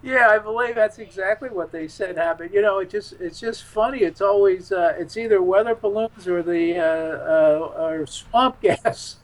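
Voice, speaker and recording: A man talking casually as a radio interview guest, halting and repeating his words. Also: sits high, poor audio.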